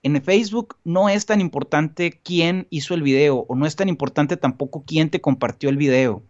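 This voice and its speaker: A man's voice: fairly high pitch, moderate pace, darkish tone. Earnest talkative man, muffled audio. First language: Spanish. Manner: earnest, rambling with many restarts and fillers. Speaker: guest. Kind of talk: casual podcast conversation.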